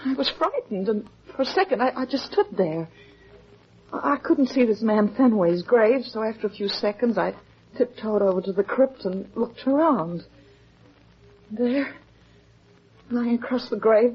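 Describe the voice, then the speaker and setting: An actress playing a frightened, defensive young murder suspect in a 1950s radio melodrama, halting and pleading. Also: female voice, mid-range voice, expressive, slightly rough. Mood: shaken. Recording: dull, muffled vintage 1950s radio recording.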